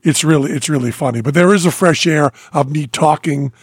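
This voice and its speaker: A man's voice: fairly high pitch, brisk, slightly rough, no echo, clean studio sound. A middle-aged man from Western Massachusetts with a faded New England accent, chatting casually as a podcast co-host, laughing often and trailing off mid-sentence. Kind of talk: casual chat, storytelling.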